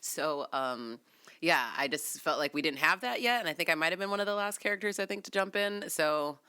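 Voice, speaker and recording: A woman's voice: deep voice, lively intonation, fairly quick, bright, forceful tone, clean tone. A woman chatting casually and informally as a panelist on a podcast. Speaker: podcast panelist and role-playing gamer. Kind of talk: casual chat.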